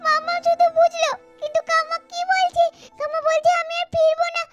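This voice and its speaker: A woman's voice: extremely high pitch, flat delivery, brisk, muffled recording, faint music behind. A woman voicing the bewildered, innocent ghost of a little girl in a doll-story cartoon, pleading childishly and repeating her calls. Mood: confused, frightened.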